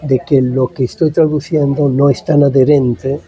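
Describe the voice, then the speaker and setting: A man in his seventies, a native Italian speaker, giving thoughtful, measured interview answers with frequent pauses. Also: medium pitch, little pitch movement, warm tone, lo-fi sound. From Italy. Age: 70s. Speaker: guest writer.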